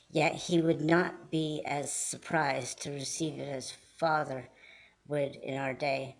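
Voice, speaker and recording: A man's voice: a little high, rough recording. Man reading a Bible story. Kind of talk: scripted storybook narration.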